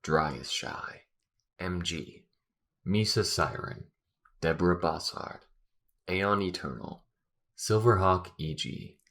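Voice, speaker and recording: A man's voice: deep voice, slow, long pauses, a bit bright. A man, the creator of an audio channel, reading a scripted outro and list of credits.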